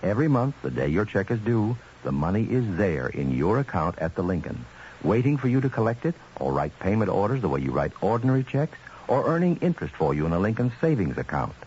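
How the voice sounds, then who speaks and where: A man's voice: lowish voice, big pitch swings, brisk, a little mellow. A man reading a scripted, folksy radio commercial as an announcer. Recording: muffled old radio, faint hiss.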